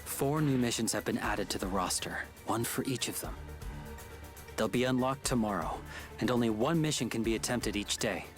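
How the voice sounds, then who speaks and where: An actor playing a serious, determined team leader in a video game cutscene, earnest and resolute. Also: male voice, medium pitch, moderate pace, lightly acted. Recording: loud game music behind.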